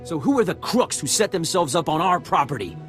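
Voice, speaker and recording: An actor playing a stubborn, entitled settler in scripted game dialogue. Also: male voice, fairly high pitch, sing-song, fairly quick, over music. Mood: indignant, entitled. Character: entitled, stubborn male settler claiming family land.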